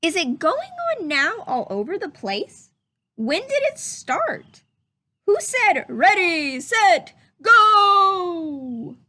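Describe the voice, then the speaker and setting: Woman reading as curious little kid, a boy in a picture book, high voice, earnest questioning lilt. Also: very animated, very slow, sharp tone, exaggerated voice. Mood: eager curiosity. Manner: questioning, rising phrasing. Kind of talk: storybook read-aloud.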